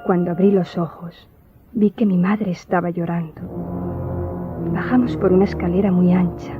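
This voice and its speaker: An actress playing a melancholy young Greek noblewoman in a radio drama, recounting memories in a solemn, formal, measured way. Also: lowish voice, steady tone, natural voice. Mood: solemn, remembering. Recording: muffled audio with music behind.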